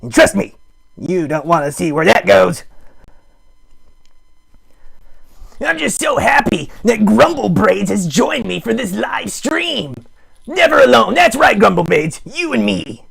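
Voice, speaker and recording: A man voicing a needy, melodramatic puppet streamer, theatrical, sarcastic and mock-grand, with a gravelly, husky voice, improvising a comic livestream. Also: high-pitched, sing-song, unhurried, a bit bright, full character voice. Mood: excited, mock-grand. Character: melodramatic, needy puppet live streamer with big wry bravado. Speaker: host of a live puppet show stream.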